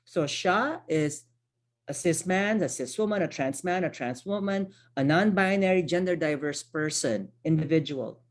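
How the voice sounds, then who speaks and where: A woman's voice: low-pitched, animated, normal speed. A woman, a Tagalog speaker, giving an online training workshop in a conversational way, often checking in with "right?" and restarting her phrases. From Philippines. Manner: conversational, frequent tag questions and self-corrections. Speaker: workshop presenter, social worker.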